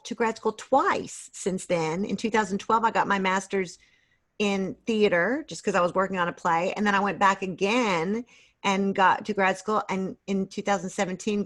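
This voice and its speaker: A middle-aged woman chatting casually as an interview guest on a video call, speaking in a hesitant, halting way and often restarting her phrases. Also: mid-range voice, a bit bright. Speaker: guest author and creative writing teacher.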